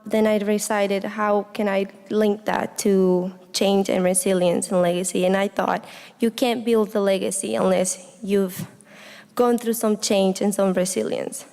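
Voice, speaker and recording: Young female student speaker, mid-pitched, earnest personal talk, recorded in a reverberant hall. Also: flat delivery, measured pace, vocal fry, warm tone. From Honduras. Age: young adult. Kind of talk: personal reflective speech to an audience. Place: indoor hall, speaking to an audience. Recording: echoey hall with long reverb.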